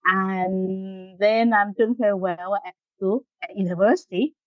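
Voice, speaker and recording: A woman's voice: mid-range voice, fairly even intonation, a little slow, no room sound, narrow, tinny audio. A middle-aged woman from Hong Kong with a Hong Kong accent, answering interview questions with many ums and short laughs. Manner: frequent ums and uhs, laughs between phrases. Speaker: guest engineer.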